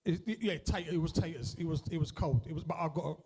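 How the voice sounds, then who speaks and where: A man's voice: fairly high pitch, quick pace, a little mellow, breathy edge. A middle-aged man with an East London accent doing a stand-up set and chatting with the crowd, swearing freely and leaning on tags like "innit" and "right". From East London, England. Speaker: stand-up comedian. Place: comedy club with a live audience.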